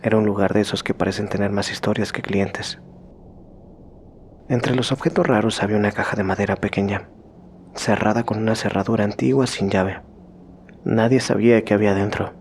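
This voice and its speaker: Low gravelly man narrating horror. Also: fairly even intonation, brisk, slight background noise. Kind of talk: scripted horror narration for bedtime listening.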